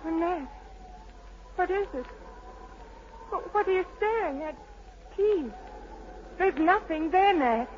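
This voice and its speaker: An anxious, very high-voiced sister. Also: female voice, animated, slow pace, pauses often, exaggerated voice. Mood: pleading. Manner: earnest, pleading, theatrical. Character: anxious, pleading daughter and sister, fearful, caring. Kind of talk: 1940s-50s radio drama acting. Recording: muffled old radio broadcast, faint noise.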